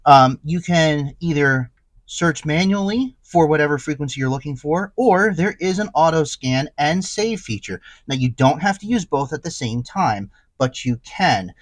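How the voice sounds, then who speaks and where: A man's voice: on the high side, no room sound. A man giving a casual, unscripted hands-on gadget review, explaining things conversationally and thinking aloud. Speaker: tech gadget reviewer and host.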